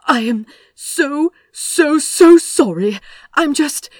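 A woman voicing a flustered, anxious gentleman who babbles breathless apologies. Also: fairly high pitch, animated, takes it slowly, breathy edge, dry recording, clean studio sound, pitched above the performer's own voice, heavily acted. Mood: babbling apology.

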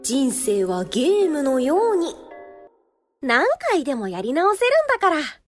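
High-pitched, teasing trickster. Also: female voice, sing-song, a little slow, soft background music. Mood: mocking, gleeful. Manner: sing-song, teasing. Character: playful, mocking, childlike game-master trickster. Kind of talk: fantasy audio drama acting. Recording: long hall reverb.

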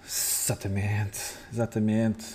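A man with a European Portuguese accent hosting a casual panel chat, brisk and joking as he keeps things moving. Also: fairly low pitch, little pitch movement, darkish tone, breathy edge. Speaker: host.

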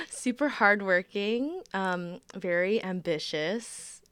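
A woman's voice: medium pitch, very animated, takes it slowly, fairly bright tone, clear, resonant tone, no echo. A twenty-year-old Canadian woman co-hosting a podcast in casual, giggly, slangy chat with a friend. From Vancouver, Canada. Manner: informal, youthful slang. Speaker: podcast co-host.

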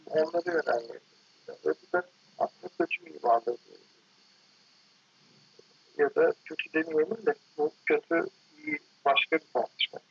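Husky, lively man, halting talk. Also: fairly high pitch, highs cut off. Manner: halting, digressive, self-correcting. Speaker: architect guest being interviewed. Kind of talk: casual reflective interview talk.

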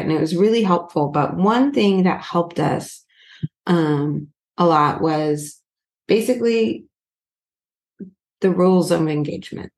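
A woman's voice: low-pitched, darkish tone, echoey room. A woman co-hosting a relationship podcast, talking earnestly in a chatty way with many fillers. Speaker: podcast co-host. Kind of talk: casual couple chat.

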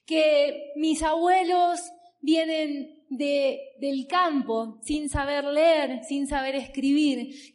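A woman in her thirties with an Argentine accent from the Buenos Aires area, telling her personal story as a motivational speaker at a business event, emphatic and stacking long repeating lists. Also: sits high. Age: 30s. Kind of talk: personal story told from a stage. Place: stage before a live audience.

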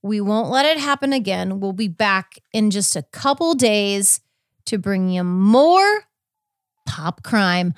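A woman's voice: medium pitch, lively intonation, takes it slowly, dry recording. Woman podcaster chatting, close dry sound. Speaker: podcast co-host. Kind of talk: casual true crime podcast chat.

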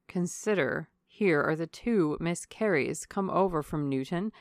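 A hearty country baronet, played by a woman narrator, orders and persuades in a loud, emphatic way. He is blunt and bossy, in a dry audiobook reading. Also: sits low, no room sound.

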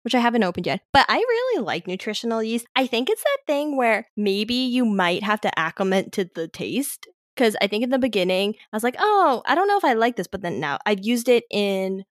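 A woman's voice: on the high side, no room sound, clean studio sound. A young woman co-hosting a casual podcast chat, thinking aloud in loose, rambling sentences full of hedges. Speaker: podcast co-host.

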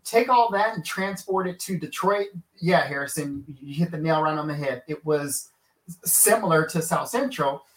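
A man's voice: on the high side, lively intonation, normal speed, a bit bright. A man from Kansas, an author and lawyer, telling his life story in a casual interview, rambling with lots of fillers and restarts. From Kansas, USA. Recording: echoey room.

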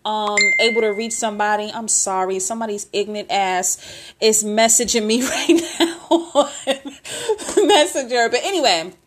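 A woman's voice: on the high side, moderate pace. A woman in her late twenties hosting a casual solo podcast intro, rambling and candid, with lots of filler and mild swearing. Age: 20s. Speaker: podcast host.

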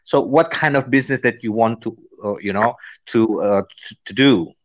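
A man's voice: mid-range voice, highs cut off. An Indonesian man with an Indonesian accent, a business trainer chatting on a call and speaking with frequent fillers and restarts. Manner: halting, many fillers and restarts. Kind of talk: casual conversation.

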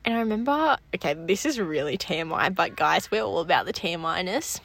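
A woman's voice: lowish voice, animated, brisk, a bit bright. A young woman co-hosting a podcast, bubbly and giggly, talking straight to her listeners. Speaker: podcast co-host. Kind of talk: casual chat.

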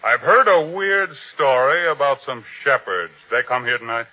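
A man's voice: medium pitch, expressive, normal speed, natural voice. An actor playing an ambitious, domineering palace officer in an old radio drama, commanding and menacing as he speaks. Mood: demanding. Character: ambitious, domineering male palace officer hunting three kings. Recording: dull old radio recording.

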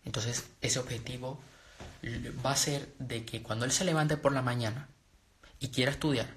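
A young man from Venezuela giving an earnest, rambling motivational talk to viewers, full of restarts and fillers. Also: mid-range voice. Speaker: personal development and trading coach. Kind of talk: casual live-stream talk to viewers.

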